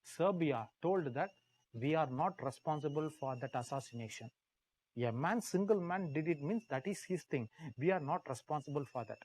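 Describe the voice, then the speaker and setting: An Indian-accented male history teacher with a fairly high voice and a dry, close sound. He lectures in a storytelling way, hammering key phrases by repeating them and quizzing his students as he goes. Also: animated, moderate pace, a little mellow, dry recording, rough recording. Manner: puts questions to the class. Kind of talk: live classroom lecture told as dramatized story.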